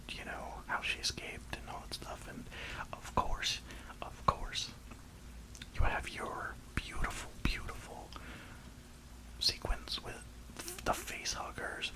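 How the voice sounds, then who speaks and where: A reviewer whispering an enthusiastic, rambling ASMR film review in a breathy, unvoiced voice. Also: measured pace, rough recording. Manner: enthusiastic, rambling, emphatic repetition.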